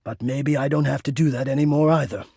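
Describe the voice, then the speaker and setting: Casting: an anxious, tender male air duct spirit for a dating game; husky, close and dry, formal and halting. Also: mid-range voice, fairly even intonation, dark tone, dry recording. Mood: nervous, formal. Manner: earnest, formal, hesitant. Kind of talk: dating game character acting.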